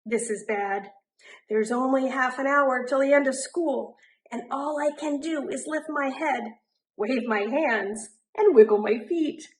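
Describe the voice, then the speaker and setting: A woman reading a children's picture book aloud in an animated way, drawing out words for emphasis. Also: sits high, slight background noise. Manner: animated, deliberate, drawn-out emphasis on rhyming words. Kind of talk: expressive children's storybook narration.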